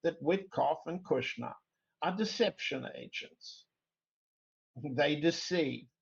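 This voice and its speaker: Through muffled lo-fi audio, a man gives political analysis as an interview guest. His voice is raspy with some fry, and slightly high for a man. He phrases things in a measured way.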